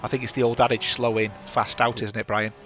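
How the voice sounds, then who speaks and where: A man's voice: mid-range voice, little pitch movement, a little fast, a bit bright, soft background music. A chatty man hosting a sim racing stream on a headset, talking over the action and correcting himself. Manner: chatty, rambling, self-correcting. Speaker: sim racing broadcast host. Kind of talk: live race commentary.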